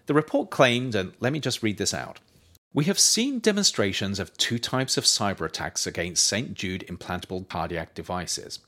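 A man reading scripted nonfiction as its author and narrator, in a measured, emphatic, explanatory manner. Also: fairly low pitch, a bit bright.

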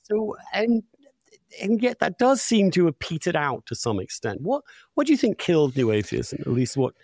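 A man's voice: high voice, big pitch swings, normal speed, darkish tone, dry recording, studio quality. A middle-aged or older man hosting a conversational interview, musing emphatically and offering his own opinions. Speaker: podcast host, interviewer.

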